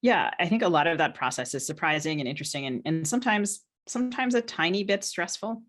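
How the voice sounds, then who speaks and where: A woman in casual podcast conversation as co-host and guest, wry and joking, pausing and hedging as she talks. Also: lowish voice, lively intonation, fairly bright tone. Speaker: podcast co-host and novelist being interviewed.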